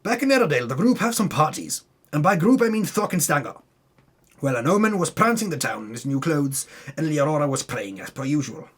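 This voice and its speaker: A man's voice: fairly high pitch, big pitch swings, brisk, slightly rough. A man giving a dramatic, tongue-in-cheek reading of a fantasy game recap, breaking off into muttered asides. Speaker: narrator recapping a tabletop game campaign.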